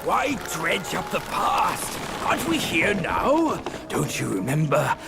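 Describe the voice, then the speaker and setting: High, creaky, brash pig demon. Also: male voice, wildly swooping pitch, slow, exaggerated voice. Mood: defensive. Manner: theatrical, swinging from wheedling to shouting. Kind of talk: video game cutscene acting. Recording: music under the dialogue.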